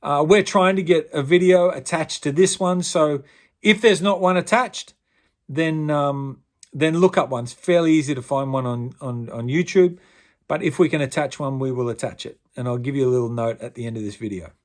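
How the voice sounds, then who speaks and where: A man, a pastor explaining the steps of a youth honour in a relaxed, conversational way. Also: a little high, normal speed. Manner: relaxed, conversational, with fillers and restarts. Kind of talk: instructional talk explaining an honour's requirements.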